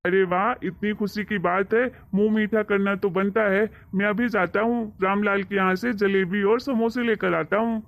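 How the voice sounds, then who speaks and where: A creaky cartoon father, played by a man, anxious and strict. He speaks emphatically, like a simple family man admonishing his household. The sound is narrow and phone-like. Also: high-pitched, fairly even intonation, moderate pace, hardly any pauses. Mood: pleased. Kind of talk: Hindi cartoon moral story dialogue.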